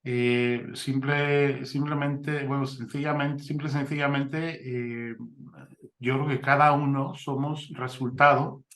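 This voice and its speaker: A man with a mid-pitched voice hosting an interview. He affirms his guest often and talks with many fillers and restarts. The sound has an echoey room. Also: level tone, takes it slowly, a bit bright. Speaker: interviewer, mission ministry host. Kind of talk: conversational interview. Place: Spain.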